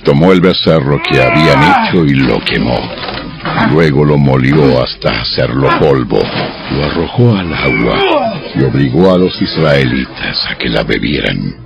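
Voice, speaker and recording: A man's voice: extremely low pitch, expressive, unhurried, creaky, highs cut off, loud music behind the voice. A man delivering dramatized scripted Bible narration as the narrator.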